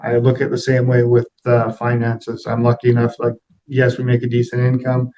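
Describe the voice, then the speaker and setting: A man's voice: mid-range voice, monotone, moderate pace, warm tone, lo-fi sound, a little hiss or hum. A middle-aged man answering interview questions in a reflective, plain-spoken, conversational way. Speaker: interview guest, aviation executive.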